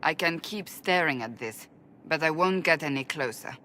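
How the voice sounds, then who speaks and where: An actress voicing a stern, earnest woman warrior who speaks in a serious, measured way. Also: sits low, lively intonation, sharp tone, slight background noise.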